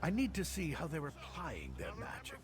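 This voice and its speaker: A man's voice: a little high, wildly swooping pitch, moderate pace, music in the background. A man voicing an anxious, bookish alchemist in a video game, earnest and scholarly but cowardly.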